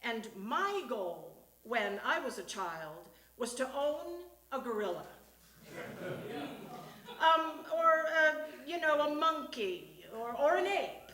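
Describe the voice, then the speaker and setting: High, bright-voiced woman performing a monologue. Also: sing-song, slow. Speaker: reader at a live storytelling show. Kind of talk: performing a comic personal monologue. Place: small theatre stage. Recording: echoey live stage recording.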